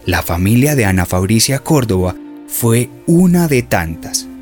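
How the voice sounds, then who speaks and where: A man's voice: on the low side, very animated, measured pace, darkish tone. A man delivering formal, emphatic scripted narration as the documentary narrator of a radio drama. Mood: grave, expository. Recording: music behind the voice.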